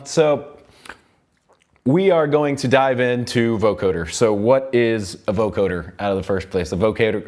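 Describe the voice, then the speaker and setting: A man's voice: fairly low pitch, darkish tone. A young adult man with an American accent, an instructor giving a casual live tutorial in a rambling way, often repeating and restarting phrases. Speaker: instructor, seminar host. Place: recording studio control room, seated at mixing console.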